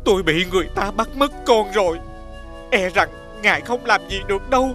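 Elderly poor villager, plaintive, deferential, cartoon folk tale, light music behind. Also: male voice, sits high, lively intonation, a little fast, sharp tone. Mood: sorrowful pleading. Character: humble, pleading village father, an elderly man. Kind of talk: animated fairy tale acting.

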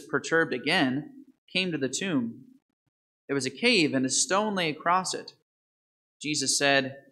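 A man's voice: fairly high pitch, sharp tone. A man in his thirties, a priest reading the gospel and then preaching a homily in a large church, quizzing the congregation. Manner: asks the congregation questions and quizzes children. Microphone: microphone at the altar, far camera.

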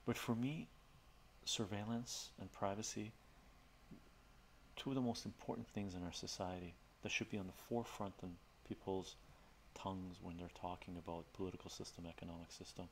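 A middle-aged man, Armenian by birth, chats in a relaxed, rambling way with fillers, as a livestream host in his home study. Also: deep voice, normal speed, a little hiss or hum. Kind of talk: casual soft-spoken chat with viewers.

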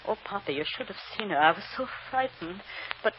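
Actress as an anxious immigrant wife, muffled old radio. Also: female voice, mid-range voice, lively intonation, fairly quick, slight background noise, lightly acted. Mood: anxious, pleading. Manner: earnest, emotional, stilted foreign phrasing. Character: devoted, anxious immigrant wife and mother, insistent, tender. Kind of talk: old-time radio drama acting.

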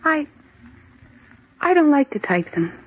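A woman's voice: sits high, very animated, takes it slowly, full character voice. A woman playing a timid, easily frightened young typist in 1940s radio drama, nervous and protesting. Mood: uneasy. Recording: tinny, hissy 1940s radio recording.

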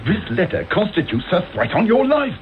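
Earnest, blustering English policeman for radio play, high-pitched, faint background noise. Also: wildly swooping pitch, normal speed. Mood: earnest, officious. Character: earnest, excitable male police inspector. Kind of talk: radio drama acting. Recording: dull noisy old radio broadcast.

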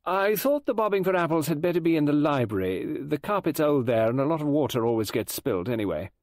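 Man playing a brisk, bossy party hostess, high-pitched. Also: very animated, darkish tone, no echo, clean studio sound. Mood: brisk, organising.

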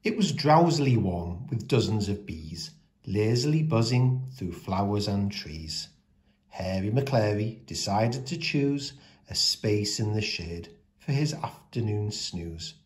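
A man reading a children's picture book aloud as a storyteller, with expressive, deliberate delivery of the rhymes. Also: on the low side.

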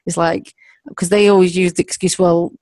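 A woman's voice: lowish voice, flat delivery, normal speed, a little mellow, no echo. A woman from England whose first language was not English, asking a hesitant question over a laptop video call from a dim room. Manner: hesitant, restarts mid-sentence. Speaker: caller with a question. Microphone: laptop webcam on a video call.

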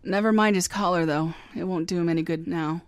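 An actress playing Candy Matson, a brisk, wry, no-nonsense private eye who also narrates. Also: female voice, fairly low pitch, steady tone, smooth, no echo, lightly acted. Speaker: narrator and lead detective. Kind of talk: live 1950s radio drama acting.

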